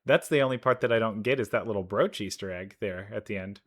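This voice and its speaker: A man giving casual reaction commentary, rambling and thinking out loud as he goes. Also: on the low side, fast talker. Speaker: reaction video host. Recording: game music playing behind. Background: game trailer music.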